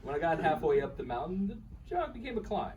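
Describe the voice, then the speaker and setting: A man acts a sheepish husband full of self-doubt, talking ruefully in a live radio play. His voice is on the higher side and very animated, caught some way from the mic with faint room noise. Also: fast talker, distant mic. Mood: rueful storytelling. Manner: conversational, rueful, animated storytelling. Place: live reading at a bookshop event.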